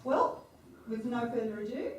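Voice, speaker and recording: Chatty female host warming up a hall crowd, with strong room echo and a faint hiss. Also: on the high side, sing-song, normal speed. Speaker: event host introducing the performer. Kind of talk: introduction before an audience. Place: lecture hall before an audience. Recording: echoey hall mic heard from far off.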